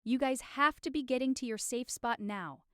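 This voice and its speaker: A woman's voice: high-pitched, moderate pace, fairly bright tone, smooth, no room sound, studio quality. A woman as an urgent TV storm forecaster warning viewers in live weather coverage. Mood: urgent, alarmed.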